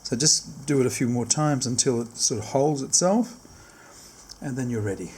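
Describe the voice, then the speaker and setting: A man's voice: mid-range voice, normal speed, darkish tone, a little hiss or hum. A man giving a casual hands-on product demonstration, explaining step by step and often rephrasing his instructions.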